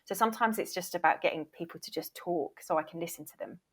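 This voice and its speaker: A woman's voice: on the low side, fast. A woman talking casually as an interview guest, explaining things in a candid, emphatic way and sometimes interrupting herself. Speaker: voice reel producer, interview guest.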